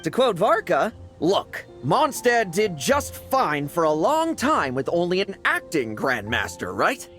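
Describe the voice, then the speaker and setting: Lively, cocky young male knight. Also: medium pitch, a little slow, hardly any pauses, faint music behind. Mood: playful, determined. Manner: sardonic, nonchalant. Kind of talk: dramatic game voice acting.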